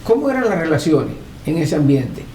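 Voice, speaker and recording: Male oral-history interviewer, halting careful questions, very roomy sound with faint noise. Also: fairly high pitch, very animated, warm tone. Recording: echoey room with background noise.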